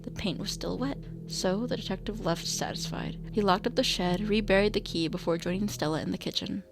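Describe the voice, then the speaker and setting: A woman narrates a radio drama from a script. Her mid-pitched voice has noticeable vocal fry, with faint music underneath. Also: brisk.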